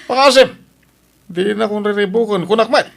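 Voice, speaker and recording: A man's voice: high-pitched, normal speed, vocal fry. A man with an Ilocano accent playing a curt, agitated, evasive father who rejects his child in a radio drama. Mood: agitated refusal.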